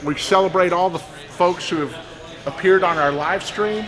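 A man's voice: sits high, normal speed, a bit bright. A man hosting a walking tour of a poker room like an upbeat presenter, pointing the camera at each area. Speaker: poker show host. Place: large indoor poker room. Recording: echoey room with crowd noise. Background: crowd chatter.

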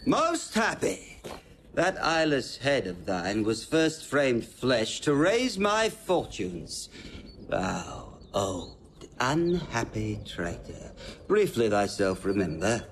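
An actor playing a haughty, foppish villainous servant, sneering and theatrical, in a Shakespearean audio drama. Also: male voice, medium pitch, big pitch swings, slow pace, a little hiss or hum. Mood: gloating.

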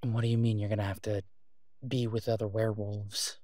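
A man playing a worried, devoted boyfriend in an audio roleplay, speaking haltingly and earnestly, as in intimate conversation. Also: fairly low pitch, level tone, warm tone, no room sound, clean studio sound, lightly acted. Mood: incredulous, uneasy.

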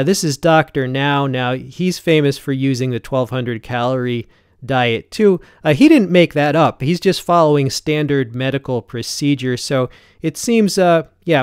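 A man in his late forties speaks straight to camera in a casual, blunt way, checking in with the listener as he goes. His voice sits slightly high and comes across smooth and clean. The sound is dry and close, with little room echo. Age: 40s. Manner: blunt, conversational, frequent "right?" check-ins. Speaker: fitness YouTuber. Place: home gym, indoors.

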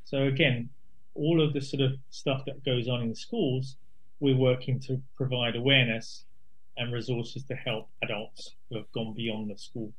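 A man's voice: medium pitch, fairly even intonation, normal speed. A man with a British accent giving conversational answers as a radio interview guest. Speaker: interview guest, dyscalculia network co-founder. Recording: slightly dull remote link.

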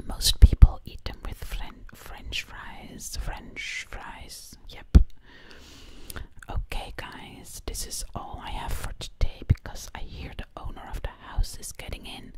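A young woman with a Dutch accent whispers breathily, close to the mic, in a rambling ASMR style, repeating words over and over. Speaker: ASMR performer in a zombie princess role play. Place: dim living room indoors. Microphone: close to the mic, ear to ear.

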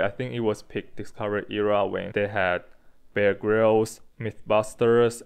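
Low, smooth-voiced young vlogger from Taiwan. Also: male voice. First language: Chinese and Taiwanese Hokkien. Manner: candid, blunt, with casual swearing. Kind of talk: casual personal vlog. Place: dim room with a desk monitor, indoors. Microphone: handheld furry-windscreen mic near the mouth.

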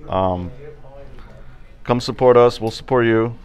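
A man's voice: medium pitch, a little slow. A man in his thirties who owns a barbershop, talking casually with lots of fillers, recorded in his shop. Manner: relaxed, chatty, with many fillers. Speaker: barbershop owner. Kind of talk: casual interview answers. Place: barbershop interior.

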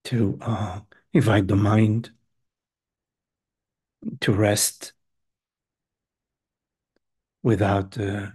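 A man leading a guided meditation as a teacher, speaking gently and hesitantly in short phrases with long pauses between them. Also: on the low side, husky. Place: live online group session.

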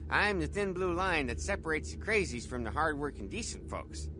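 A man's voice: a little high, big pitch swings, normal speed, background noise. An actor playing a friendly, simple-minded male security guard in a video game, chatty, earnest and proud of himself.

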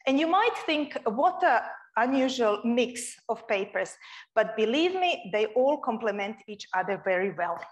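A young woman from Croatia giving an earnest, expressive public lecture as a guest speaker in a lecture room. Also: on the high side, measured pace.

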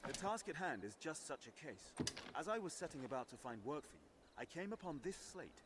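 An actor playing a solemn, formal officer handing out quests in a fantasy video game, speaking in measured archaic phrases. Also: male voice, fairly high pitch, sing-song, noisy background. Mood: solemn, businesslike. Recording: loud game music behind.

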